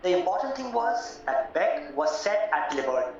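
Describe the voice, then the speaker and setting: A man acting a courteous, formal solicitor in a radio play, deliberate and a little hesitant. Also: high-pitched, very animated, slight background noise. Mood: calm, reassuring. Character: courteous, measured English male solicitor, formal and reassuring. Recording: roomy, distant, muffled, music underneath.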